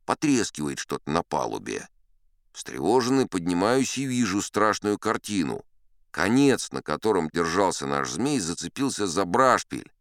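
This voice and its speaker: An actor playing an old sea captain who spins tall tales in a dramatised audiobook, boastful and unflappable, with theatrical asides and dramatic pauses. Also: male voice, deep voice, big pitch swings, a bit bright, no echo, studio quality, exaggerated voice. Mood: dramatic, suspenseful.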